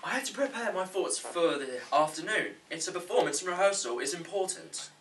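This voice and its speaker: A man's voice: sits high, lively intonation, fairly quick, fairly bright tone, distant mic. A man playing a fussy, defensive, nerdy young podcaster at a comedy table read, indignant and pedantic. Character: fussy, defensive, nerdy twenty-one-year-old male podcaster. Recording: faint room noise, off mic.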